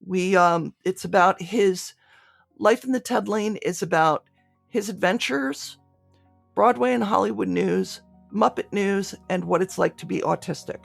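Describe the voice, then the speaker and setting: A woman talks conversationally as an interview guest. Her mid-pitched voice carries noticeable vocal fry. The sound is dry, with hardly any room on it. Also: unhurried, no echo, faint music behind. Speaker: podcast guest, audio drama creator.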